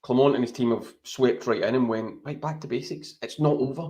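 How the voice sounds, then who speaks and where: A man's voice: mid-range voice, brisk, mellow. A Scottish man talking football on a podcast in a chatty, rambling way, often tagging his points with "right?". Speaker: podcast co-host. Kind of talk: casual football chat.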